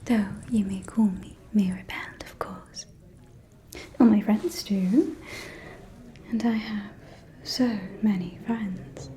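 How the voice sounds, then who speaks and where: A woman's voice: medium pitch, slow pace, dark tone, close to an everyday voice. A woman playing a gossipy, flamboyant vampire socialite in an ASMR roleplay, whispering in a coy, theatrical drawl. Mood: playful, coy.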